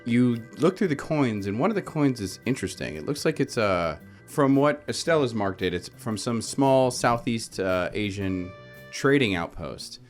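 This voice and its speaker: A man's voice: mid-range voice, animated, moderate pace. A man narrating a tabletop game as game master, with faint guitar music underneath.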